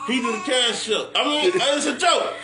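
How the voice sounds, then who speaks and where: A man's voice: very high-pitched, animated, moderate pace, background noise. A middle-aged American man bantering casually on a livestream in a slangy, profane, boastful way, repeating himself. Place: living room, indoors. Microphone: phone across the room. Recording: echoey room, away from phone.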